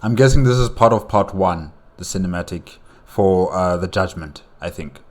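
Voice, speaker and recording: A man's voice: low voice, normal speed, darkish tone, soft background music. A man giving casual, off-the-cuff reaction commentary in a hesitant, musing way, often repeating his phrases. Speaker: reaction video host.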